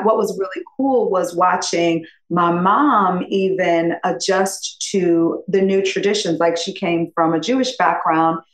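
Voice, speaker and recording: A woman's voice: lowish voice, echoey room, low-quality recording. A woman in her fifties from upstate New York, a podcast guest chatting in a rambling, effusive way and laughing often. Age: 50s. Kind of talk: casual interview chat.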